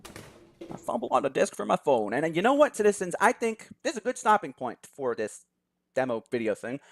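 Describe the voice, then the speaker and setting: A video host reading a visual novel aloud and commenting on it in a dramatic, expressive manner. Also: medium pitch, brisk, vocal fry.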